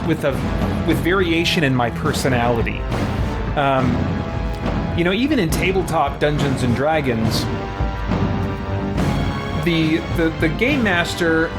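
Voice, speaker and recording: A man hosting a game livestream, talking casually and haltingly as he thinks aloud and comments on his play. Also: fairly high pitch. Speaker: game streamer, host. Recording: music behind the voice.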